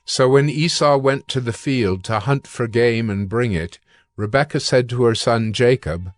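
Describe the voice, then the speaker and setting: A man reads scripture as a narrator. His voice is medium in pitch, and he delivers scripted storytelling between the actors' lines. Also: unhurried.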